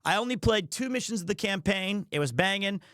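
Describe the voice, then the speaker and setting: Bright, high-voiced man chatting on stream. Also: brisk, no room sound, clean studio sound. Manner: rambling, jokey, expressive. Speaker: livestreamer and host. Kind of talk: casual chat to a stream audience.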